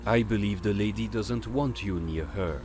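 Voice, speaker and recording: A man playing a suave, silver-tongued gentleman con artist with a flaming head in an audio drama, courtly and sprinkling in French phrases. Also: fairly low pitch, moderate pace, soft background music, close to an everyday voice. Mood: cool defiance.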